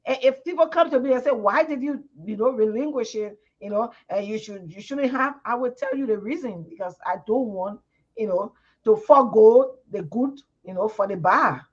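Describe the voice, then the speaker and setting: A woman's voice: on the high side, moderate pace, husky. A woman from Liberia with a Liberian English accent, a panelist in a live TV discussion, speaking earnestly and often repeating words as she searches for them. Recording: muffled lo-fi broadcast audio.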